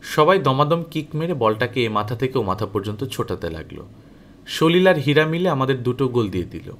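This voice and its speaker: A man reading a story aloud as an audiobook narrator, in an expressive, animated way that dramatizes the dialogue. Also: mid-range voice, brisk, a little hiss or hum. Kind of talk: expressive scripted story narration, first-person as a boy.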